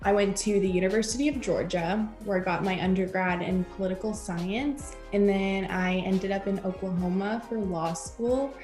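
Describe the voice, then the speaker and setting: A woman's voice: medium pitch, steady tone, moderate pace, hardly any pauses, darkish tone, roomy sound, soft background music. A young woman who grew up in South America, a podcast co-host guest, chattily telling stories. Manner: storytelling, quotes her family, chatty. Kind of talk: casual interview chat.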